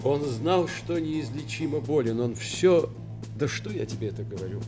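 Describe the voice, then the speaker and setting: An earnest, solemn man, voiced by an actor speaks in measured, emphatic phrases in a radio drama. His pitch is medium, and faint music runs underneath. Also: expressive, close to an everyday voice. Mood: solemn, grieving. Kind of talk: dramatic radio play monologue.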